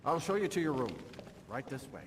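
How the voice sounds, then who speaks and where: A man's voice: mid-range voice, big pitch swings, a little fast, distant mic, natural voice. A man playing an obliging fantasy innkeeper in video game dialogue, recorded roomy and noisy.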